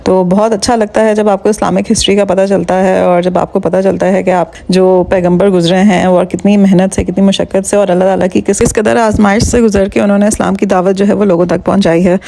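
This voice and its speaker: A woman's voice: medium pitch, creaky, dark tone. A woman travel vlogger explaining religious sites in an earnest, flowing way. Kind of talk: casual travel vlog chat and voice-over.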